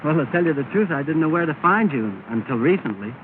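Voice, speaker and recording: An actor playing a courteous, mild but quietly firm young gentleman in a 1930s film, speaking politely and with measure. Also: on the high side, expressive, fast talker, phone-quality sound, close to an everyday voice. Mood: polite, pleased. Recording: thin, noisy 1930 film soundtrack.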